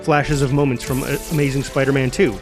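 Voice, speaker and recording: A man's voice: mid-range voice, level tone, brisk, over music. A man reading scripted stage directions in present tense, with a punchy, clipped, dramatic delivery. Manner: punchy, clipped delivery with exclaimed sound words. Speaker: stage-direction narrator at a table read.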